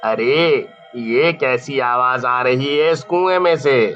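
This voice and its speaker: A man's voice: medium pitch, slow pace, a bit bright, muffled recording, faint music behind. A man voicing a village thug with a heavy drawl in a cartoon horror story. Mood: casual, curious. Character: forceful male village thug.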